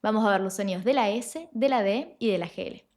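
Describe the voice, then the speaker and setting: A woman giving an online lesson as an Italian teacher, explaining sounds clearly and slowing down to model them. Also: medium pitch, a little mellow.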